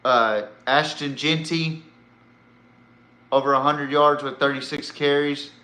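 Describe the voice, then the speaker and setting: A man's voice: fairly high pitch, steady tone, slow, sharp tone. A man hosting a sports podcast, giving blunt, profane, opinionated commentary like an exasperated fan. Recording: roomy echo, faint background noise.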